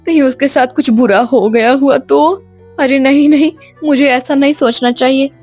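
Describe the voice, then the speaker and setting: A woman's voice: high voice, moderate pace, darkish tone, faint music behind, natural voice. A woman voicing an anxious, loving mother in a cartoon story, fussing and emotional. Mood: distraught.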